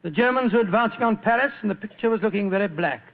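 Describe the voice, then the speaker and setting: A man's voice: high-pitched, lively intonation, fast, phone-quality sound. An actor playing an elderly, genial British doctor in an old radio drama, chatty and avuncular as he recounts wartime adventures. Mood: grave storytelling. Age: older. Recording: thin band-limited old radio recording.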